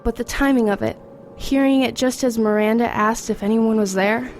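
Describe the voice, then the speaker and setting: A woman's voice: fairly high pitch, normal speed, a little mellow, natural voice. A woman playing an anxious, defiant teenage girl in an audio horror drama. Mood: uneasy narrating. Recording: background noise and music under voice.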